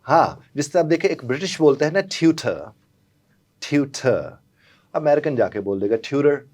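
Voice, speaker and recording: A middle-aged man, a guest explaining communication and in a formal, courteous, measured way. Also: on the high side, expressive, a little mellow. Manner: formal and courteous, using polite honorifics. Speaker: guest, language and communication trainer.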